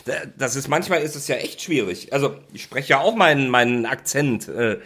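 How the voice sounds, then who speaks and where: A man talks football in a loose, casual chat, pitched at a middling everyday level. He makes his points emphatically and holds firm opinions, but pads them with small hesitant fillers as he goes. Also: expressive, fairly quick, a bit bright, husky.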